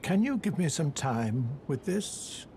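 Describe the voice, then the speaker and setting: An actor playing an eccentric, shrewd scholarly sage in a video game, musing in theatrical, drawn-out phrases. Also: male voice, a little high, sing-song, a little mellow, slight background noise, exaggerated voice. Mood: admiring, musing.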